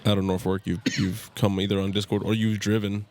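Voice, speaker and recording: Gravelly low-voiced male podcast host. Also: little pitch movement, brisk, a little mellow. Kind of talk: casual interview.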